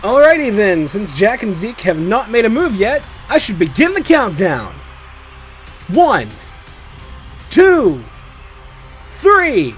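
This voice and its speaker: A man playing an excitable, theatrical and scatterbrained tournament announcer in an animated drama. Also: high voice, takes it slowly, long pauses, faint music behind, exaggerated voice. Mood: showy, rousing. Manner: theatrical, showy.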